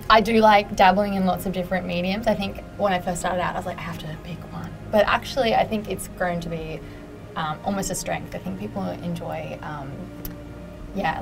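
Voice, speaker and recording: Gravelly-voiced young woman. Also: on the low side, poor audio. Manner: chatty, self-deprecating, many fillers and laughs. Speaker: interviewee, musician and writer. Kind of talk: casual interview answers. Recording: music playing behind.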